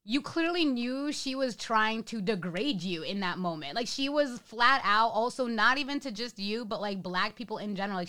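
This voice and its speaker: Bright, clear-voiced woman. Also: fairly high pitch. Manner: expressive and blunt, swears freely. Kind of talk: casual chat.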